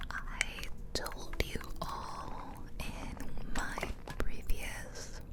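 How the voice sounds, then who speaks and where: A woman speaking in a soft, breathy ASMR whisper close to the mic from ear to ear, rambling casually and gently over game footage. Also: very slow, pauses often. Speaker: ASMR video creator. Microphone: close ear-to-ear stereo microphone.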